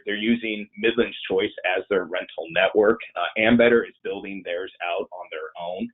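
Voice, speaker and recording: A man's voice: low voice, slightly rough. A man presenting and taking questions in an informal webinar, conversational and rambling, sounding nasal and congested with a cold. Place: online presentation. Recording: muffled band-limited online webinar audio.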